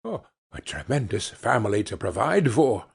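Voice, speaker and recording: A man voicing an old miser, humbled and timid, speaking submissively in a higher, strained voice. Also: big pitch swings, moderate pace, creaky, breathy edge, heavily acted. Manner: submissive, pleading.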